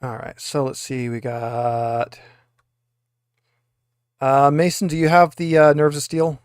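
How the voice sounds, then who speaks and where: A man's voice: mid-range voice, level tone, slow pace, smooth, dry recording, clean studio sound. A man acting as game master, talking players through dice rolls and rules in a tabletop roleplaying session.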